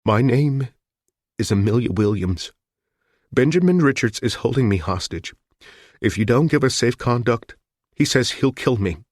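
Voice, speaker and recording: A terrified young woman held hostage, as a male narrator puts her on, pleading in high, breathless cries. Her voice jumps far above the reader's own low tone. The recording is close and dry. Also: animated, measured pace, pauses often, a little mellow, no echo.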